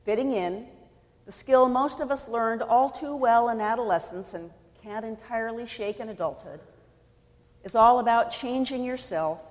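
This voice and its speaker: A woman from California preaching a sermon in a measured, deliberate way, pausing for emphasis. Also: mid-range voice, a little mellow, long reverb tail. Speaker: pastor.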